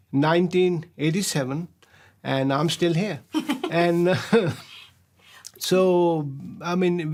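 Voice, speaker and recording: An older man, his voice sitting a touch high for a man. He tells his stories in a relaxed, halting way, stopping on small filler sounds and breaking into easy chuckles. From Pakistan. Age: 60s. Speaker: professor, interview guest. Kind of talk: relaxed storytelling interview.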